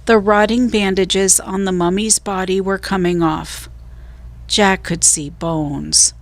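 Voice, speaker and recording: A woman narrating a children's storybook as a reader, with deliberate, suspenseful storytelling. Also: mid-range voice, fairly even intonation.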